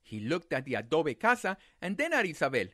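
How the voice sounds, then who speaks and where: A man reading a children's picture book aloud as a storyteller, with dramatic, deliberate phrasing. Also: a little high, sing-song, sharp tone, no room sound, studio quality.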